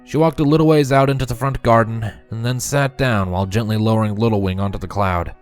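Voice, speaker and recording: A man narrating a story from a script in an expressive reading style. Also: fairly low pitch, fast. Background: music.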